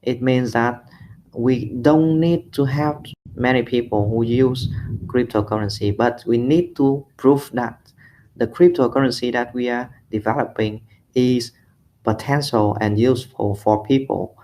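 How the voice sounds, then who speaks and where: A man's voice: mid-range voice, measured pace, warm tone, a little hiss or hum, poor audio. A man from Vietnam with a Vietnamese accent, presenting a prepared opinion as a community discussion participant.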